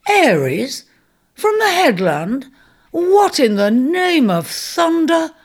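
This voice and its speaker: Storybook centaur, male, robust and good-humoured, voiced by a woman. Hearty and booming. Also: big pitch swings, measured pace. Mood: startled.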